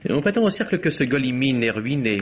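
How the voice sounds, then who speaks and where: An actor playing a suave, evasive gentleman lover with dry, polite irony in a 1950s French radio drama. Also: medium pitch, very animated, fast talker, dull audio, close to an everyday voice. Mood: wary, polite. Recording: vintage radio recording, faint noise.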